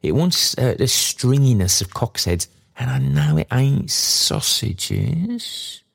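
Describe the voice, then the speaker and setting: A man's voice: mid-range voice, big pitch swings, slow, creaky, slightly rough, pitched above the performer's own voice, full character voice. A man voicing a kindly, poor old working father in a storybook, musing cheerfully in folksy, rambling speech. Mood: musing, savouring. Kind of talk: storybook character dialogue.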